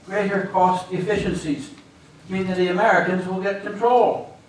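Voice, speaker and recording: A man's voice: sits high, fairly even intonation, moderate pace, close to an everyday voice. A man playing an anxious, suspicious boss in an agitated, dramatic stage reading. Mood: suspicious, indignant. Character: anxious, suspicious male boss, paranoid about rivals. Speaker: amateur stage actor. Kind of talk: readers theater stage performance. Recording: echoey hall, distant mic, faint noise.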